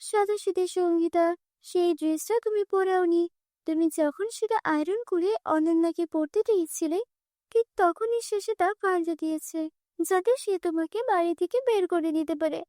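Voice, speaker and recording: A very high, dry-recorded fairy voice, acted by a woman for a children's cartoon. She is gentle and reassuring, a magical being who explains things kindly. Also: moderate pace, dry recording.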